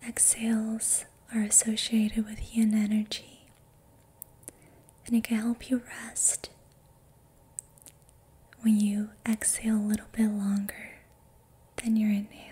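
A young adult woman whispering softly and breathily close to the mic, guiding a relaxation in a gentle, soothing ASMR style. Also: on the high side, monotone, slightly rough. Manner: slow, gentle, soothing instruction. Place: small dim indoor room. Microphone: close studio mic with foam windscreen.

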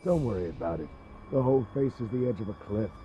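A man's voice: fairly low pitch, animated, quick pace, over music, natural voice. A man playing a complacent castle guard on night watch in a video game. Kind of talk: video game dialogue acting.

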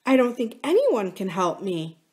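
Woman acting soft, pleading, manipulative young woman. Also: mid-range voice, big pitch swings, normal speed, voice pushed up, close to an everyday voice. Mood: fearful pleading. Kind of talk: audiobook character dialogue.

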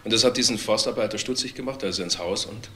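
Brisk, forceful detective. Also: male voice, lowish voice. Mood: businesslike. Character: plain-spoken male police detective, brisk and businesslike. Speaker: police detective. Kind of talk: screen crime drama dialogue. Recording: faint background noise.

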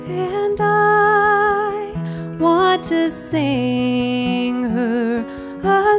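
A woman singer, a musical guest, singing a song with guitar. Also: high voice.

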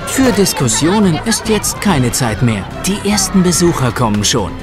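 Animated male storyteller over music. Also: on the high side, normal speed, crowd noise. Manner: expressive storytelling. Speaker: narrator. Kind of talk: scripted children's audio play narration. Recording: music under the voice.

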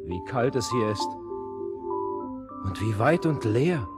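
A dazed and tender fairy-tale boy, acted by a man, speaks as if waking from a spell. The voice is lifted high and swings freely, with prominent music behind. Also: animated, slow pace. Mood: amazed, waking. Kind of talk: fairy-tale audio drama acting.

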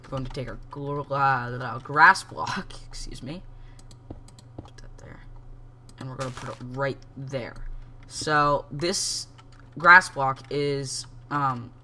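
A man's voice: medium pitch, slow pace, bright, forceful tone, a little hiss or hum. Congested young man explaining a game build. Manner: rambling, self-correcting, many ums. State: congested from a cold. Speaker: game tutorial host. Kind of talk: casual screen-recorded game tutorial.

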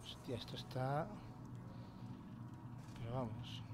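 A man's voice: medium pitch, fairly even intonation, slow pace, very noisy. A man from Spain giving casual let's play commentary, muttering to himself and swearing. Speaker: video game player. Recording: game soundtrack under the voice. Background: loud horror game music and sound effects.

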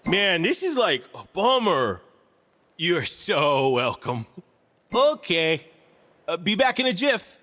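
Drawling, swooping hippie stoner wizard. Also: male voice, high-pitched, sing-song, slow pace, long pauses, pushed and bright, big-room sound, heavily acted. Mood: spaced-out, friendly. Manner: drawling, spaced-out surfer-dude delivery. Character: mellow hippie stoner wizard, laid-back nature lover. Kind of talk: video game character voice lines.

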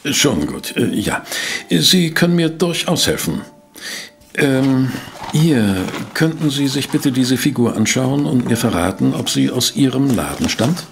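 Polite detective's assistant, audio drama, low creaky voice, animated intonation, measured, courteous. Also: male voice. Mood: hesitant, impatient. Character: loyal, polite male detective's assistant and narrator. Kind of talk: German audio drama acting and narration.

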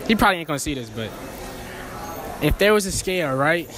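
A man's voice: on the high side, very animated, fairly quick, a crowd in the background. A young man running a casual street interview in a shopping mall, playful and teasing, asking for ratings and repeating "for real". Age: young adult. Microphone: handheld phone.

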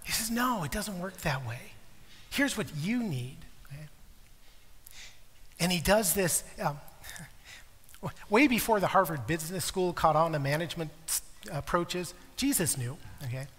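A man's voice: high voice, lively intonation, unhurried, pauses often, fairly bright tone, breathy edge. A middle-aged man, a pastor, preaching a sermon in a large church sanctuary with a conversational manner, checking in with "okay?" often. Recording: long hall reverb.

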